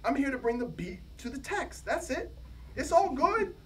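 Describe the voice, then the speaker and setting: A man's voice: extremely high pitch, sing-song, normal speed, pitched above the performer's own voice. A man voicing a brash, confrontational American hip-hop professor in a swaggering, emphatic, slangy way during a book reading. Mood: defiant. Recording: distant mic, faint room noise.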